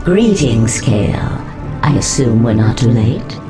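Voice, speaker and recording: Naga warrior woman, imperious, slow, deliberate, portentous, fantasy game, music behind. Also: extremely low pitch, lively intonation. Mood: ominous. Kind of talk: fantasy video game cutscene acting.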